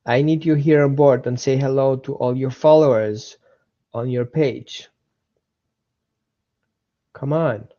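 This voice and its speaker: A man's voice: mid-range voice, steady tone, slow pace, pauses often, dark tone, highs cut off. A man with an Austrian accent hosting a casual live dog-training webinar, chatting with viewers, repeating himself and greeting people by name.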